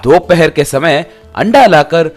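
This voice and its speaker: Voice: mid-range voice, expressive, slow, faint music behind. A narrator reading a scripted comic moral tale for a cartoon.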